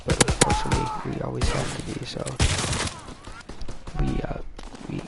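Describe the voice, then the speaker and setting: A young adult man telling a personal story casually, in a rambling, halting way. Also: medium pitch, huge pitch swings, creaky, very noisy.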